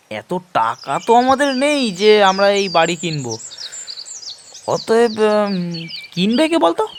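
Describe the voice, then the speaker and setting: Slow, highly expressive male storyteller. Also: slight background noise. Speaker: narrator of an audio horror story series. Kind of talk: dramatic first-person story narration in Bengali.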